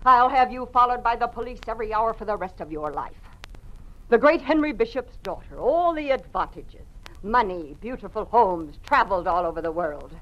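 A woman's voice: mid-range voice, animated, moderate pace, close to an everyday voice. An actress playing a spiteful, gloating party informer in an old-time radio drama, taunting and sneering as she talks. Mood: vindictive, scornful. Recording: dull, band-limited old radio recording.

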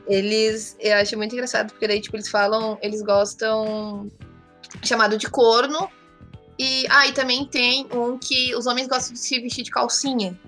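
South brazilian woman, bright highish voice, slangy candid storytelling, music playing behind her. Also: little pitch movement, moderate pace. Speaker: interview guest. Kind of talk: casual anecdotal chat.

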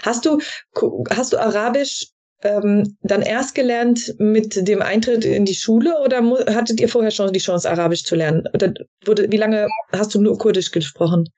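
A woman hosting a conversational podcast interview, phrasing her questions in a searching, halting way with trailing tags. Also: medium pitch, steady tone, mellow. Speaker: podcast host interviewing a guest.

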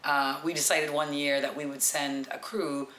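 A woman in her forties with a deep voice, telling stories as an interview guest in a confident, practised broadcaster's way. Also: level tone, moderate pace, fairly bright tone, a little hiss or hum. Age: 40s. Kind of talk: relaxed storytelling interview. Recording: echoey room with music behind.

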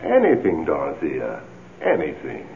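An actor playing the ghost of a two-timing man, a pleading, smooth-talking seducer, in 1970s radio drama style. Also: extremely low pitch, wildly swooping pitch, a little slow, full character voice. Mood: wooing. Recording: muffled, noisy 1970s radio recording.

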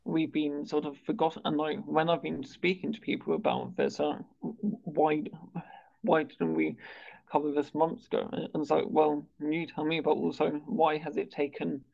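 A man with a stammer who speaks haltingly, repeating words and sounds as he hosts a conversational interview. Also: on the high side, vocal fry. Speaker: interviewer and stammering awareness campaigner. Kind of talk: conversational interview on a video call. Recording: dull, lo-fi video call audio.

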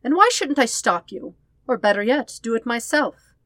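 A woman voicing a proud, sharp, teasing younger sister, a princess, in a fan fiction audiobook. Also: fairly high pitch, big pitch swings, moderate pace, dry recording, clean studio sound, voice pushed up. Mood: taunting, challenging.

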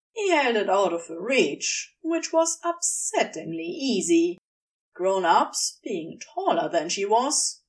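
Slow, expressive woman narrator. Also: fairly high pitch, distant mic. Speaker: audiobook narrator. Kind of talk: scripted fiction narration.

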